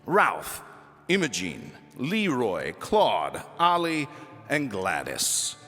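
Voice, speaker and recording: Animated male storyteller in a reverberant space. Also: mid-range voice, drawn-out words, faint music behind. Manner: theatrical, emphatic delivery. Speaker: audiobook reader. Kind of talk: animated storytelling narration of a children's book.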